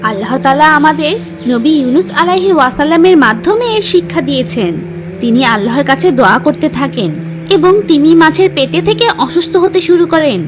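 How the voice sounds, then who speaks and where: Female children's storyteller, very high pitch, animated intonation, teacherly scripted narration. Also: fairly quick, noisy background. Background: music.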